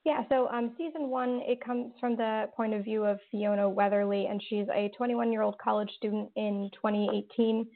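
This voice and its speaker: A woman's voice: mid-range voice, fairly even intonation, normal speed, hardly any pauses. A woman, a horror writer and interview guest, answering thoughtfully with lots of fillers and restarts. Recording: tinny phone-like line.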